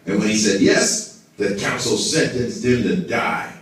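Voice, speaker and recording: A man's voice: fairly low pitch, a little slow, lightly acted. A man playing an evasive, self-important religious council member puppet, speaking formally in scripted show dialogue. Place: indoor hall, behind a puppet stage. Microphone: far camera in the audience. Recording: echoey room, distant audience camera.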